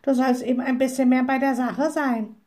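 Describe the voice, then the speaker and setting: Storybook raven, male, played by a woman: screechy croak, raised pitch, blunt scolding know-all. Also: fairly even intonation, moderate pace, a little mellow, natural voice. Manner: reproachful, lecturing. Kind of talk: storybook character voice.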